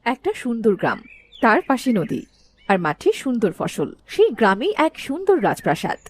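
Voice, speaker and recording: A woman's voice: a little high, huge pitch swings, normal speed, a little mellow. A woman narrating a cartoon fairy tale in a scripted storytelling style.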